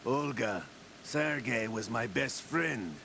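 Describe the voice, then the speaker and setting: A man's voice: mid-range voice, animated, slow pace, noisy background. An actor playing a scheming older male mercenary who talks in a cold, threatening way, in a video game cutscene. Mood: menacing. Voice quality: gravelly. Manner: sneering, threatening. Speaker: scheming veteran officer.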